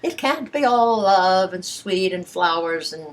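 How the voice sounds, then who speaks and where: A woman's voice: medium pitch, expressive, measured pace. A woman in her eighties talking casually and frankly, with a blunt, playful, emphatic way of putting things. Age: 80s. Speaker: sex educator giving private sessions.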